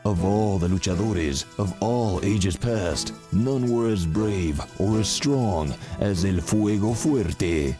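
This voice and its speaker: A deep-voiced, grandiose narrator of a pulp adventure audio drama. His delivery is portentous and theatrical, set over a strong bed of music. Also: takes it slowly, few pauses. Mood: grand. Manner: portentous, ominous.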